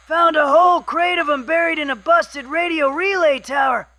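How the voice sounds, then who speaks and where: A man playing a loud, excitable wasteland scavenger and collector of old recordings, who yells everything into the microphone. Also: very high-pitched, moderate pace, exaggerated voice. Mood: excited. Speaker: radio guest.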